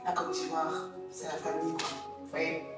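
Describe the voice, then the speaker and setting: A woman's voice: sits low, completely flat delivery, normal speed. A woman co-hosting a casual chat podcast, telling stories animatedly with laughter. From Ivory Coast heritage. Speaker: podcast co-host. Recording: echoey room from far off, soft music.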